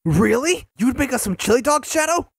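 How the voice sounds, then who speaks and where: A man's voice: very high voice, big pitch swings, moderate pace, gravelly, breathy edge, no room sound, clean studio sound, full character voice. A man voicing a cocky, sarcastic young male hedgehog in a fan audio drama, playful in tone.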